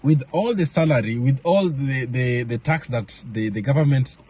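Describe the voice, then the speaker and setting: A young man from Kenya talking casually to camera in a vlog, halting with many fillers and restarts. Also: medium pitch, darkish tone. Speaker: vlogger. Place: home in Madrid area.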